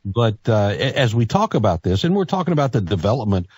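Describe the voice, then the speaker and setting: A man's voice: lowish voice, sing-song, fast, darkish tone, husky, highs cut off. An American man hosting a morning talk radio show in a casual, chatty style. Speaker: radio host.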